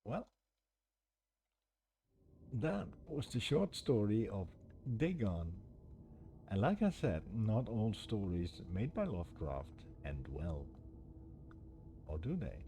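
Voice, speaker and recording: Low-voiced, expressive male game streamer. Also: slow pace, long pauses. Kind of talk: casual game commentary. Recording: clear background noise, ambience. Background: ambient noise.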